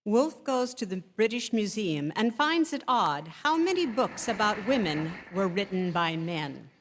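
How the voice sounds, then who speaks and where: A woman's voice: mid-range voice, very animated, a little slow, slight background noise. A woman reading scripted narration as the narrator of a program. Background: music.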